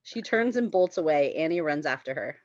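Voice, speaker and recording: A woman's voice: sits low, animated, brisk, no echo, lo-fi sound. A woman reading screenplay stage directions aloud at a table read, in a giggly way. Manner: giggly, often breaking into laughter.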